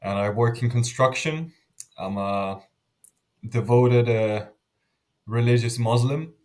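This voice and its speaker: A man in his twenties from Lithuania, answering interview questions earnestly, often restarting his sentences and trailing off softly. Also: mid-range voice, takes it slowly. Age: 20s. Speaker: interview guest.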